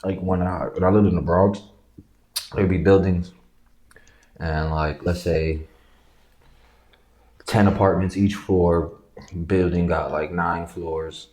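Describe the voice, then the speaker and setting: A man co-hosting a casual chat, speaking in a relaxed, laid-back way. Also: low-pitched, slow, long pauses. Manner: relaxed, laid-back, repeats himself when starting a thought. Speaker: co-host. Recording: echoey room.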